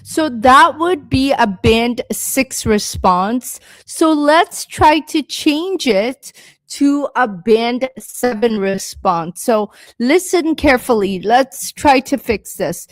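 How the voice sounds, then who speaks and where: Woman, live-stream English teacher, slow and encouraging, dry close sound. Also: a little high, animated, no room sound. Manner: patient, encouraging, talks to viewers by name. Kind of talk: live-stream speaking lesson reading chat comments.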